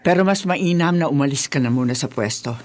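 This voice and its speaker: An actress playing a stern, devoted older mother with a Filipino accent in a Tagalog radio drama, emphatic and deliberate. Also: deep voice, darkish tone, natural voice. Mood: firm.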